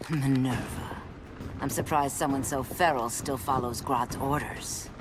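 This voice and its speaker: Low-voiced, stern warrior princess. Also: female voice, measured pace, heavy background noise. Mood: stern resolve. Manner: lofty, formal, commanding. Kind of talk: video game voice acting.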